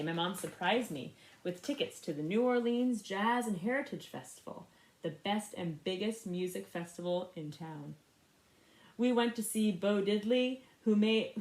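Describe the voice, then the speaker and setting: A woman reading a picture book aloud in an expressive, animated way for young listeners. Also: mid-range voice, reverberant, poor audio. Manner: animated, pauses to ask young listeners questions. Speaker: storybook reader. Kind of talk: expressive children's read-aloud.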